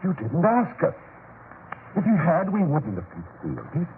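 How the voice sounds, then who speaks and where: An actor playing an earnest, indignant traveling disciple, pleading formally and insistently in a 1940s radio drama. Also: male voice, on the high side, huge pitch swings, moderate pace, phone-quality sound. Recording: thin, hissy 1940s radio recording.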